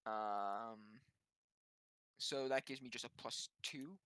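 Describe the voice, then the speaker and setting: A man's voice: mid-range voice, slow, a bit bright, clear, resonant tone, no echo, clean studio sound. A man chatting casually as a tabletop game player, hesitantly talking through his choices. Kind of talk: casual chat during a game.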